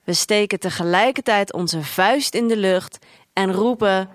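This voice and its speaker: A woman's voice: mid-range voice, unhurried, dry recording, close to an everyday voice. A woman playing a detached young female protester in a dystopian audio drama, narrating in literary first person. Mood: matter-of-fact. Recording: music under the voice. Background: music.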